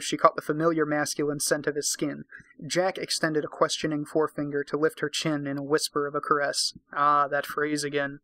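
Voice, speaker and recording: A man's voice: on the high side, fairly bright tone, no echo, rough recording. A tipsy man hosting a casual comic read-aloud, narrating and breaking off for sweary, sarcastic commentary. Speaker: host, narrator.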